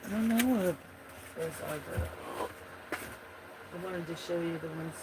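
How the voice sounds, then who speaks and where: A man's voice: fairly high pitch, unhurried, background noise. A man hosting a livestream, chatting casually about his drawings in a froggy voice, meandering and trailing off as he searches for pieces. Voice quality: froggy, gravelly voice. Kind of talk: casual rambling commentary.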